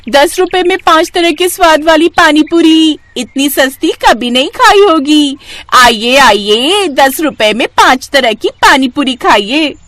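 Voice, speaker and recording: Casting: a woman as pushy young cartoon sister-in-law, high pitch, very lively swoops, coaxing. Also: a little slow, dry recording. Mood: hawking. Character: bold, ambitious young sister-in-law who turns food vendor.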